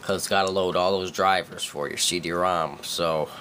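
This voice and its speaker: A man's voice: low-pitched, steady tone, moderate pace, sharp tone, slight background noise. A young man with a stuffy cold, casually talking through a computer tutorial, rambling and correcting himself with many fillers. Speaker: tutorial maker. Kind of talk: casual screen-recorded tutorial. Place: dim room at a computer.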